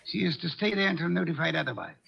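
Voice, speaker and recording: Brief: a lordly, sedentary genius detective, slightly raised, gravelly voice, old dull radio sound. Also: male voice, fairly high pitch, very animated, fast, heavily acted. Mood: dry. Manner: pompous, precise, dryly sardonic. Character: fat, pompous, sedentary male genius detective. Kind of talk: 1940s radio acting.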